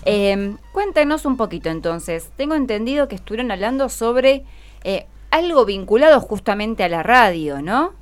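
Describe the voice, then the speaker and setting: A woman's voice: on the high side, big pitch swings, normal speed. A woman with an Argentine accent, hosting a radio show and chatting encouragingly with children through short questions. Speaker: radio host interviewing schoolchildren. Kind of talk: conversational interview. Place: radio studio. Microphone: studio microphone.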